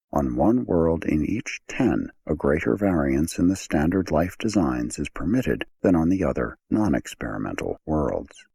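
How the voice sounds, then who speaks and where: A man narrates a scripted audiobook in a very deep voice. It is gravelly and husky in texture. His delivery is measured and formal. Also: a little mellow.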